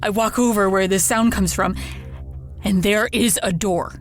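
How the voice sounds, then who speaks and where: Actress doing a haunted anxious woman in a radio play, slow faltering telling, music underneath. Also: medium pitch, close to an everyday voice. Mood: hushed, eerie. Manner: halting, repetitive, faltering. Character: haunted woman anxiously confiding a ghost story. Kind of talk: audio drama monologue.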